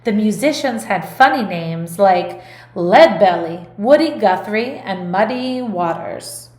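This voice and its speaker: A woman music teacher reading a picture book aloud in an expressive, deliberate storytelling delivery. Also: medium pitch, reverberant.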